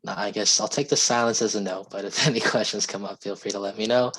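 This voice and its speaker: A hoarse man explaining software pricing and support on a video call, polite and steady. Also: fairly low pitch, quick pace, poor audio. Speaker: sales representative giving a software demo. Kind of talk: video call sales pitch.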